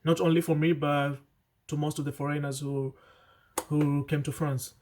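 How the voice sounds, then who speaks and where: A man's voice: on the high side, little pitch movement, moderate pace, darkish tone, a little hiss or hum. A young man talking casually to camera, earnest and chatty, often restarting his sentences and adding asides. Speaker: vlogger. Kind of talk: casual vlog advice to camera.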